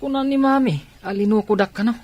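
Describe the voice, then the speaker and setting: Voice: medium pitch, fast, a little mellow, dry recording, lightly acted. An actor playing a whiny, sulking young boy in an Ilocano radio drama. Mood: sulky.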